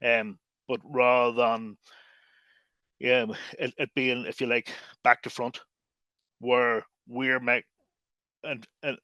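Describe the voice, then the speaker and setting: A man's voice: medium pitch, level tone, takes it slowly, long pauses, bright, forceful tone, no room sound, muffled recording. Man, native English speaker, podcast guest, thoughtful, restarts phrases, dry close sound. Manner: thoughtful, with frequent fillers and restarts. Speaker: lexicographer and guest. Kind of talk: podcast interview.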